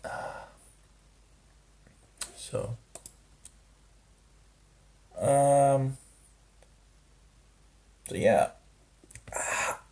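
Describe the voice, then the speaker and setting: A man talking through a rambling casual vlog, halting and full of restarts, with grumbling disapproving asides. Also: medium pitch, gravelly, a little mellow. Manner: hesitant, halting, many restarts and fillers. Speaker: vlogger.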